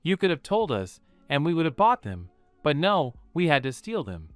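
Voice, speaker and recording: A man's voice: a little high, very animated, normal speed, dry recording, soft background music. A man voicing a cheeky, mischievous young girl in a spoken storybook, pouty and defensive.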